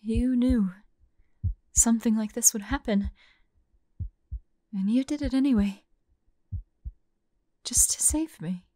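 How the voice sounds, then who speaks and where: A woman voicing a tormented young monster girl fighting bloodthirst, tender and frightened, halting and often dropping into whispers in an intimate audio roleplay. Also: fairly high pitch, dark tone, no echo. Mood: tender, disbelieving.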